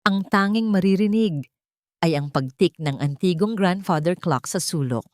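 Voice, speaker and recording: A woman's voice: deep voice, dry recording, clean studio sound. A woman narrating a scripted dramatic story.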